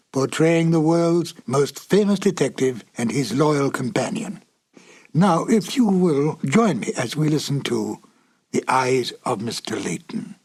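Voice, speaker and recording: A man giving measured, formal scripted narration as a presenter of vintage radio. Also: a little high, a little mellow, husky.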